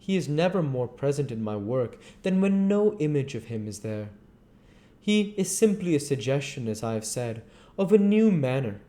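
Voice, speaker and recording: A man's voice: mid-range voice, very animated, normal speed, dark tone, natural voice. A man voicing an ardent, anguished painter in a dramatic storybook reading, earnest and confessional.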